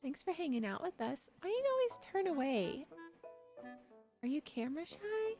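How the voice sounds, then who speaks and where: A woman's voice: high voice, very animated, normal speed, over music. Giggly young woman chatting on stream. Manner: giggly, chatty, talks to chat. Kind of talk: casual livestream chat.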